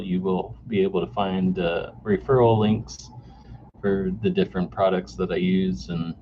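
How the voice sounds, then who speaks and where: A man in his forties hosting a solo podcast off the cuff, halting with many fillers and restarts, recorded on a camera mic indoors. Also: low-pitched, creaky. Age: 40s. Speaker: podcast host. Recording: dull lo-fi camera mic sound with faint noise.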